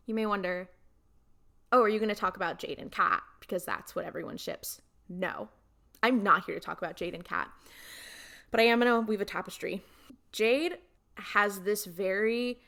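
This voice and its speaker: A young woman with a mid-range voice chats casually as a podcast co-host. She retells scenes in an animated, slangy way, dropping in quotes as she goes. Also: medium pitch. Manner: animated storytelling, frequent quoting and slangy asides. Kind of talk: casual fan chat.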